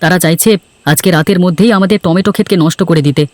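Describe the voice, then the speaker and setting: A man's voice: high voice, very fast, dry recording, natural voice. A man voicing a worried village farmer and husband in a cartoon story, speaking earnestly and hurriedly.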